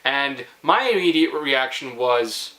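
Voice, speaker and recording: A young man hosting a vlog, telling a story casually in a jokey, animated, teasing way. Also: mid-range voice, takes it slowly, rough recording. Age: young adult.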